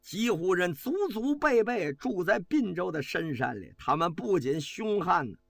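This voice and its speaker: A man's voice: high-pitched, expressive, a little slow, creaky, no echo, voice pushed up, full character voice. A man doing a fiery army officer. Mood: impassioned. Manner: fiery, pleading, shouting rhetoric. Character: impassioned male army officer rousing troops.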